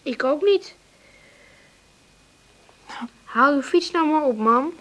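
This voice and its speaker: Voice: high voice, lively intonation, moderate pace, fairly bright tone, dull audio, slight background noise. A performer playing a sensible, bossy young son who is stuck in bed, matter-of-fact and precocious, in a radio play. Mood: stubborn. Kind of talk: naturalistic radio drama acting.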